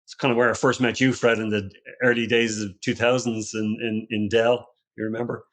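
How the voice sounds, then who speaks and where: A man's voice: fairly low pitch, little pitch movement, a little fast. A middle-aged Irish man from Ireland, a company founder talking as a podcast guest in a casual, conversational way with many restarts and fillers. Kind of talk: casual interview talk.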